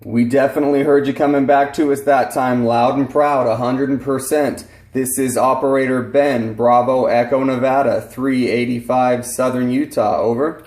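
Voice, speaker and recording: A man's voice: medium pitch, steady tone, normal speed, few pauses, a little mellow. A man working as a CB radio operator, courteously calling out and acknowledging contacts in radio jargon. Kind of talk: CB radio skip talk with call signs and jargon. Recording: roomy home recording with clear radio noise. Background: CB radio static.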